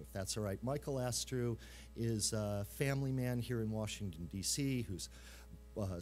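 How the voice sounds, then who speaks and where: A man acting as master of ceremonies, giving informal, halting introductions to a live audience. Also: mid-range voice, a little mellow. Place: live event room.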